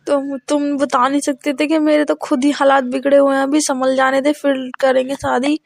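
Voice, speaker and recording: A very high, closely recorded female voice with little room sound. A woman plays a young wife who is resentful and full of reproach, nagging in everyday conversational Hindi-film-free domestic drama. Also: little pitch movement, normal speed, gravelly, dry recording, clean studio sound. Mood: aggrieved. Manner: complaining, reproachful. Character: resentful young eloped wife, nagging her jobless husband. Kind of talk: improvised-sounding domestic dialogue in an audio story.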